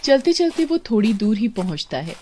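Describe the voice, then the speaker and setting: A woman's voice: a little high, very animated, moderate pace, warm tone, a little hiss or hum. A woman giving scripted narration of a moral story as the storyteller. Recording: prominent music under the voice.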